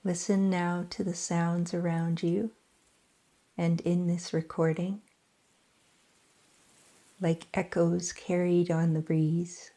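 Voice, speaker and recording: Woman, meditation guide, very slow, mellow, soothing, flat and even. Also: fairly low pitch. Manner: slow, soft, soothing delivery with long pauses. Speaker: yoga nidra teacher.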